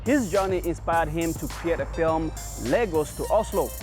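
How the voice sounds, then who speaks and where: A man's voice: a little high, animated, moderate pace, over music. A man hosting an entertainment news show, reading his script in an upbeat, sing-song way.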